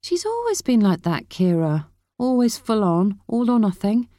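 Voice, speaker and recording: A soft-hearted older mother, played by a female narrator in an audiobook story. She is gentle, placating and worried, with a slightly lifted pitch and a dry, close sound. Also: huge pitch swings, a little slow, dry recording. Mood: wistful.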